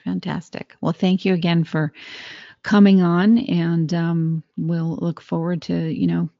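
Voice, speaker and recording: A woman hosting a podcast interview, conversational and affirming, steering the talk with brisk wrap-ups. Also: fairly low pitch, level tone, warm tone, no echo, lo-fi sound. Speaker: podcast host.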